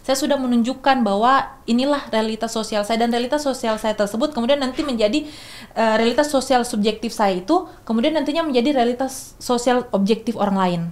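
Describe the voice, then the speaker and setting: Young woman, slightly high voice, careful explainer who self-corrects often. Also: brisk. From Makassar, Indonesia. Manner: thoughtful, many restarts and fillers. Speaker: guest speaker. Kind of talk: explanatory podcast talk.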